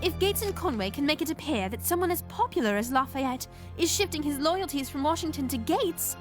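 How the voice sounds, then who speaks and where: A spirited young woman reporter with a high, lively voice. She is eager and outspoken, with music in the background of the cartoon. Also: a little fast. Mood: urgent. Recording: music behind.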